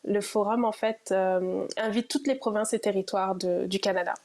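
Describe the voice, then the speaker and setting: A woman's voice: medium pitch, steady tone, normal speed, a little mellow. A woman, herself an immigrant, answering interview questions about her program with frequent hesitations. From immigrated to Ontario. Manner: hesitant, many fillers.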